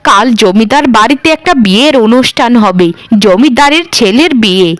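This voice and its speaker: A scornful middle-aged cartoon mother, acted by a woman, talks in a fairly high voice. She is spiteful and exaggerated, in a dry, close recording. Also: lively intonation, moderate pace, no echo, lightly acted. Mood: gloating. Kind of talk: Bengali cartoon voice acting.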